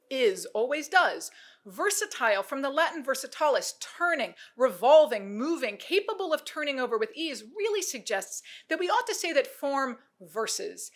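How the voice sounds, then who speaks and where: A woman delivering a prepared academic lecture at a symposium, with a measured, rhetorical cadence and pointed lists. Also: sits high, fairly bright tone. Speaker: symposium speaker. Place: museum lecture room.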